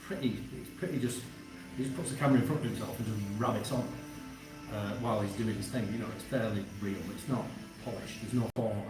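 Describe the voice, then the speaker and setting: A man telling casual anecdotes in relaxed conversation. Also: on the low side, fast talker, over music. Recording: echoey room, clear background noise.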